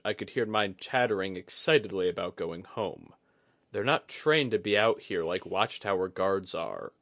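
A man voicing an earnest, worried officer with measured, formal phrasing in a fantasy audiobook. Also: lowish voice, fairly bright tone, no echo.

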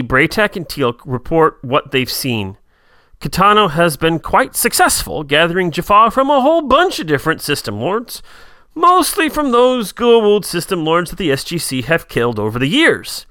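Lively, bright-voiced male podcast host. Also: high voice, no echo, studio quality. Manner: quick, lively retelling with asides. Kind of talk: casual chat, recapping an episode.

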